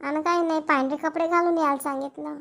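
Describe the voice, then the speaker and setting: Eager young schoolgirl, acted, very high voice, cartoon. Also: fairly even intonation, fast talker, vocal fry, fairly bright tone. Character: eager little schoolgirl talking to her mother. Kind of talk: cartoon dialogue.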